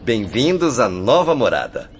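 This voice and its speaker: An actor with a Brazilian accent playing a courteous, compassionate spirit attendant with a formal manner in a radio drama. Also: male voice, medium pitch, very animated, moderate pace, slight background noise, close to an everyday voice. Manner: formal, polite. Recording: dull audio with music.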